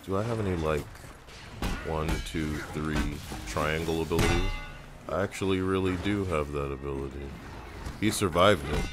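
Male gamer commentator, extremely low voice, sardonic and sweary, loud game music underneath. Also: moderate pace. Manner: sardonic, muttering complaints, profane. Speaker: gameplay commentator. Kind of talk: casual gaming commentary. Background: video game music.

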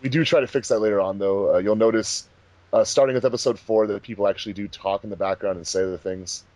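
A man's voice: lowish voice, quick pace, darkish tone, no echo. A man co-hosting a casual commentary track as the director, chatting about the recording process. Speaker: fan dub director, commentary co-host.

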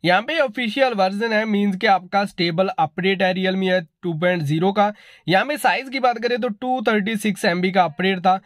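A man's voice: sits high, moderate pace, no echo, studio quality. A man casually narrating a phone update review, repeatedly addressing viewers in a repetitive, familiar way. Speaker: tech reviewer, video host.

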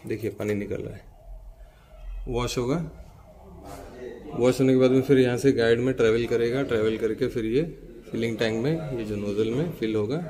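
A man's voice: medium pitch, normal speed, long pauses, mellow. A man from India walking through a large indoor factory with a handheld phone, explaining each machine of a bottling plant step by step. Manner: explanatory, points things out step by step to the viewer. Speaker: machine maker's representative. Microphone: handheld phone camera while walking.

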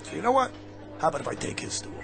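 Gravelly, brash wisecracking New Yorker. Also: male voice, on the high side, very animated, very fast, over music. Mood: combative. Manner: stammering, combative, sarcastic. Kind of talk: radio drama acting.

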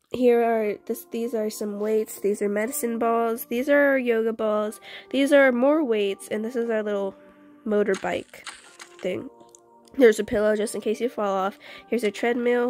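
A woman's voice: on the high side, a little mellow. A young woman doing a casual, chatty house-tour voice-over for a game video, often interrupting herself in an informal way. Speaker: video creator.

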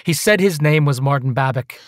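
An actor playing a hard, menacing investigator in a radio play, pressing and accusatory with theatrical delivery. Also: male voice, fairly high pitch, fairly quick, no room sound, studio quality, lightly acted. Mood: grim recounting. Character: hard, menacing investigator grilling an immigrant wife.

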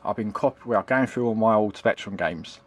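A man's voice: fairly low pitch, normal speed. A middle-aged man giving a casual, unscripted tutorial in a rambling, hesitant way, often repeating himself. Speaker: hobbyist demonstrating retro computing. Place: indoors at a home computer desk. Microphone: handheld camera's built-in microphone.

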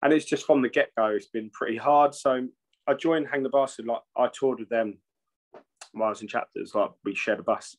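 A man's voice: mid-range voice, brisk. A man, a metal singer talking casually over a video call from home, rambling with frequent swearing and restarts. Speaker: metal band singer and bassist, guest. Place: home room with shelves. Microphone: video call webcam.

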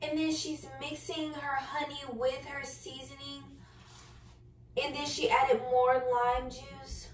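A woman's voice: high voice, level tone, slow pace. A woman in her early twenties chatting casually and candidly to viewers as she cooks, with informal, slangy speech. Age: early 20s. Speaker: cooking vlogger. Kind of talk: casual chat to viewers while cooking. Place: home kitchen, indoors. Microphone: camera on counter, a few feet away. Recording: roomy, distant kitchen recording with background noise.

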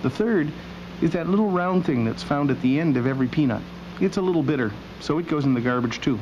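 A man narrating a scripted documentary as host, with a wry, deadpan sense of humor. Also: on the high side, fast. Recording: dull old video soundtrack with machinery noise.

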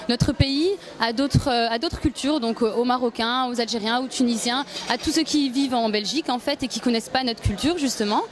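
A woman's voice: a little high, a little hiss or hum. A young woman speaking into a handheld mic at an indoor event, talking with many fillers and restarts. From Algeria, M'sila province. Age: young adult. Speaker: youth association member. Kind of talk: on-camera interview at an event.

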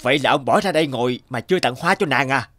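A man voicing a blunt, nagging best friend in an animated drama, exasperated and coaxing. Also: medium pitch, fast talker, no room sound, clean studio sound, faint music behind. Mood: exasperated urging.

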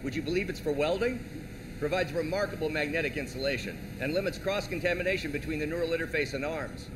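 An actor playing an ailing older scientist, a driven, secretive mentor who speaks earnestly and deliberately in a video game cutscene. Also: male voice, fairly high pitch, noisy background, close to an everyday voice. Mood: eager.

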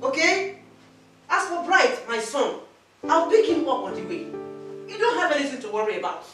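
A woman's voice: high-pitched, very animated, moderate pace, recorded from a distance. An actress playing a fed-up wife who firmly scolds her husband. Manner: firm and scolding. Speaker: actor. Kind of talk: melodrama acting. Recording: echoey room from afar, faint music.

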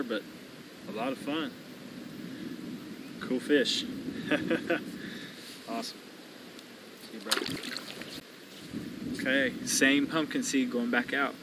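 A man's voice: mid-range voice, very noisy. A young man hosting a fishing vlog from a riverbank, talking casually to the camera as he reels in fish. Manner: relaxed, thinking aloud while reeling in fish. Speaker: fishing channel host. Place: riverbank outdoors, day into night. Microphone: action camera on the body.

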